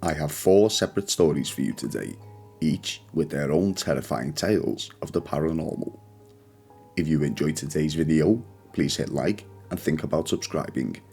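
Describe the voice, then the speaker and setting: A man hosting a channel and reading scripted ghost stories in a measured, solemn way. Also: extremely low pitch, vocal fry, a little mellow, slightly rough, faint music behind. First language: not Polish; speaks English. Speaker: channel host and narrator. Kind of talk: scripted ghost story narration.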